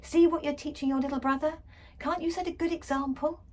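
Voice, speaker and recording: A woman voicing a brisk, cross mother scolding in an exasperated, bossy way in a children's story. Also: sits high, steady tone, a little mellow, pitched above the performer's own voice, natural voice.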